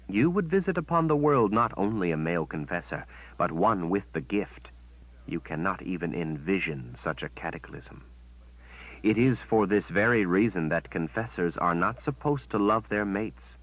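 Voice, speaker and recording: A man voicing a smug, menacing witch woman who speaks in a cold, measured way. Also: low voice, expressive. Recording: dull, dry sound.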